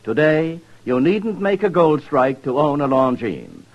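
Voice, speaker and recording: A man's voice: on the high side, sing-song, a little slow, highs cut off. A formal, polished American man reading a scripted mid-century radio commercial on an old recording. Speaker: commercial announcer. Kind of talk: 1950s radio advertisement, scripted.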